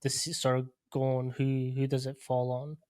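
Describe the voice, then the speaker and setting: A man hosts a relaxed podcast conversation, speaking at a middle pitch with little rise and fall. His talk is loose and informal, full of fillers, and the recording is dry and close with no room sound. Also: normal speed, dry recording. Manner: relaxed, leans on "like" and "sort of". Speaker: podcast host. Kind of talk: casual podcast interview.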